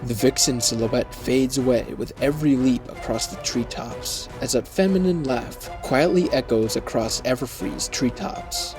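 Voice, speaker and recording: A man narrating a scripted fantasy story in a measured, descriptive way. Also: medium pitch, over music, rough recording.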